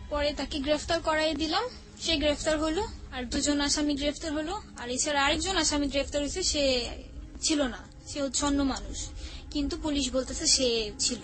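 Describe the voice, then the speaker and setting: Bangladeshi woman telling her own story, high pitched, quotes what people said, faint music behind. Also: fairly bright tone, poor audio. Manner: recounts with quoted dialogue. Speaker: guest. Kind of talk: confessional personal storytelling.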